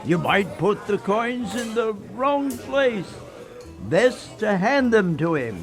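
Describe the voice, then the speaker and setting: A cagey old small-town local played by a man with a high, creaky voice. He is halting, folksy and ominous, drawling out his warnings with music underneath in a radio play. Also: very animated, exaggerated voice. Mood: cagey, knowing.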